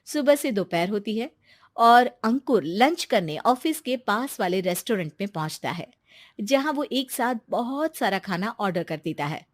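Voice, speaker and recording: A woman's voice: mid-range voice, animated, a little slow, no room sound, clean studio sound. A woman narrator reading a scripted cartoon moral story.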